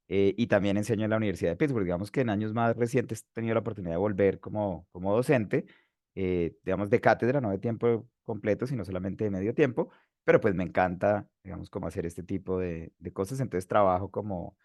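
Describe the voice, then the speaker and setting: A man's voice: on the low side, a little mellow, no echo, studio quality. A man in his forties from Bogotá with a Colombian accent, lecturing in a webinar, hesitant with many fillers and restarts. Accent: Bogotá Colombian Spanish. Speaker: webinar speaker, geotechnical engineer.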